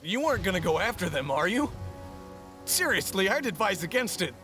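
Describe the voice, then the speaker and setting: A nervous merchant from a video game, anxious and rattled. His voice sits a little high, and soft game music plays behind him. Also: sing-song, brisk. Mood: shaken. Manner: shaken and anxious. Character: frightened male merchant robbed by bandits.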